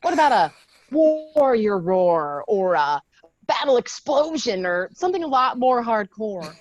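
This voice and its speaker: A woman's voice: a little high, very animated, measured pace, dry recording, lo-fi sound. A woman reading the part of a tough, guarded young female Marine lieutenant in a screenplay table read, with blunt, sardonic delivery. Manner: blunt, swearing, defiant.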